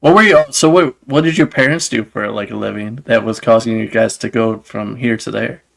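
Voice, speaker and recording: A man's voice: on the low side, brisk, vocal fry, fairly bright tone. A man hosting a casual interview, talking loosely and repeating his words. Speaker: host.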